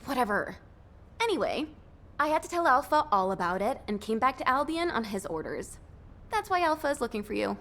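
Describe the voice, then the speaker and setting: High-voiced, dreamy young game heroine. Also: female voice, very animated, a little fast, a bit bright, full character voice. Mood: animated, teasing. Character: dreamy, excitable young woman obsessed with melodies. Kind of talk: scripted game dialogue.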